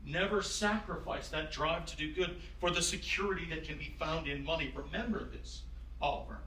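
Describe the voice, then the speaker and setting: Man acting as genial wise founding father, courtly measured phrasing, high bright voice, staged reading, distant roomy recording. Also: a little hiss or hum. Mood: earnest, advising. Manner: courtly, old-fashioned phrasing, measured and avuncular. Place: room at a live staged reading.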